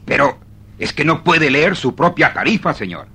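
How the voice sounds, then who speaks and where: An actor playing a hot-tempered, indignant customer in a radio play, haughty and sputtering with exclamations. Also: male voice, on the high side, very animated, moderate pace, sharp tone, breathy edge, heavily acted. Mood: indignant, furious. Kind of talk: 1970s-80s Spanish-language radio theatre acting.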